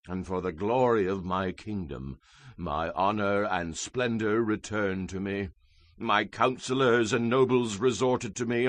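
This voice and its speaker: Proud ancient king, acted by a man, solemn and declamatory, widely swinging pitch, dry scripture-drama recording. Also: a bit bright, dry recording. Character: proud ancient Babylonian king, grandly boastful, solemnly devout.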